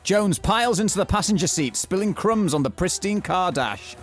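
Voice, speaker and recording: A man narrating a mock-thriller audio drama from a script, telling it in a florid, deadpan way. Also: sits high, normal speed, soft background music.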